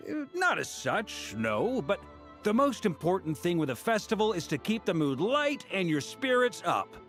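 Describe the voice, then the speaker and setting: An actor playing an easygoing, hearty, upbeat adventurer in a video game, breezy and reassuring. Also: male voice, sits high, sing-song, moderate pace, a bit bright. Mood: breezy encouragement. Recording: music behind the voice.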